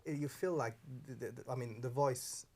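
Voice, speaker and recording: A man's voice: mid-range voice, a little fast, dark tone. A male podcast host with an ordinary mid-range voice. He talks casually and hesitantly, stumbling over and repeating words as he works out what he wants to say. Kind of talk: casual chat.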